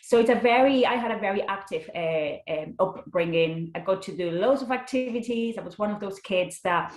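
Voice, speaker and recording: A woman's voice: mid-range voice, moderate pace. Podcast guest: Spanish-accented woman, young adult, chatty with restarts, roomy echo. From Spain. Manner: chatty, with frequent restarts and fillers. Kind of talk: casual interview chat.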